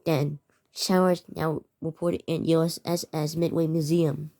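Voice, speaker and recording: A woman with a fairly low, very mellow voice reads out amateur emergency bulletins as a narrator. The delivery is halting, with words often stumbled over and said again. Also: little pitch movement. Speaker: narrator of a fictional emergency alert scenario.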